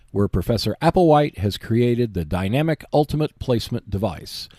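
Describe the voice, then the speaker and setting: Man hosting a podcast, lively intonation. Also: mid-range voice, no echo, clean studio sound. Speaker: podcast host. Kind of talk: prepared podcast monologue about board games.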